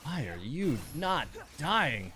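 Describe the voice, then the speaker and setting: A man's voice: a little high, big pitch swings, takes it slowly, pushed and bright, soft background music. A man livestreaming a game, giving casual running commentary and grumbling with mild swearing.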